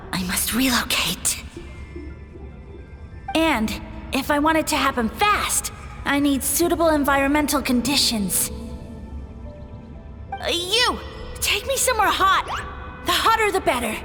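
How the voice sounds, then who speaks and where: Woman voicing a pompous, high-voiced little mushroom lord. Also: lively intonation, unhurried, pauses often, a bit bright, in a large hall, soft background music. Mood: imperious. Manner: pompous, theatrical, imperious. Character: pompous male mushroom lord, small, grandiose, aggrieved schemer. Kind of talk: video game character acting.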